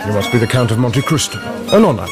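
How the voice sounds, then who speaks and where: Radio drama commander: middle-aged aristocrat, medium pitch, clipped, suave, guarded. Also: male voice, big pitch swings, fast talker, over music. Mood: suave, probing. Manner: formal, clipped, probing. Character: smooth aristocratic army commander, suave, guarded, easily rattled.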